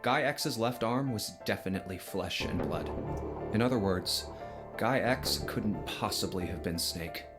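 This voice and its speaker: A determined young amateur sleuth, low voice, deliberate dramatic delivery, game music prominent underneath. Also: male voice, expressive. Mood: explaining, serious. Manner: deliberate, reasoning step by step. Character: determined young man methodically accusing a killer. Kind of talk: dramatic visual novel dialogue acting.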